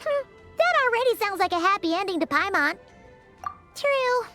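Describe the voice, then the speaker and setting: A woman voicing a tiny, excitable, childlike fairy companion in a game, squeaky and exclamatory. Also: normal speed. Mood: puzzled, curious. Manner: refers to self in third person, exclamatory. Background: music.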